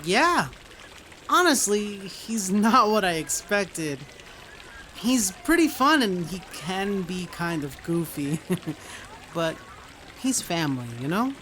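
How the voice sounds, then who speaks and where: An earnest young heroine who patiently explains and reassures. Her voice has an ordinary middle pitch with a soft hiss of ambient noise. She speaks like an audio drama lead. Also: sing-song, slow.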